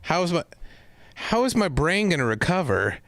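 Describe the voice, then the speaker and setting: A man co-hosting a casual comedy podcast, trading deadpan one-liners and laughing often. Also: fairly high pitch, normal speed, creaky, no room sound. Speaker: podcast co-host.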